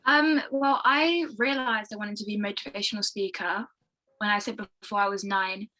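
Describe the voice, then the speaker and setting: A woman's voice: mid-range voice, fairly even intonation, moderate pace, bright, forceful tone, poor audio. A fifteen-year-old girl with a London British accent, chatting enthusiastically as a podcast guest. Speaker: podcast guest, young motivational speaker. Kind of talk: casual interview chat.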